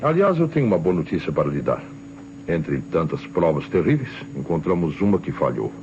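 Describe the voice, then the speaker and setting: A shrewd police inspector in a vintage radio mystery, with a very deep, deliberate voice. He sounds composed and authoritative through a muffled recording with light noise. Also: sing-song, darkish tone. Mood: probing. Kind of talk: old radio crime drama acting.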